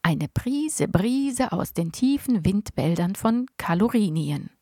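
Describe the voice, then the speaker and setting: A woman voicing a cheerful, eccentric old grandfather inventor, reading him aloud with playful excitement from a children's storybook. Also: mid-range voice, slow pace, no echo, clean studio sound, heavily acted. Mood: gleeful.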